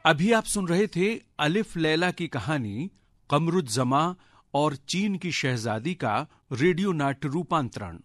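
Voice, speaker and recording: Man, radio announcer, formal credits reading, slow, slightly high pitch, dry studio sound. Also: lively intonation, a bit bright, no echo. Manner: measured, enunciated name reading. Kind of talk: formal scripted broadcast announcement.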